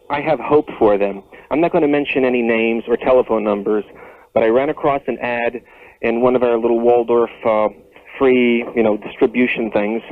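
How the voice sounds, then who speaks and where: A man calling in to a radio show, chatting casually on the telephone. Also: mid-range voice. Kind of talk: casual phone call. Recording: tinny phone line on radio.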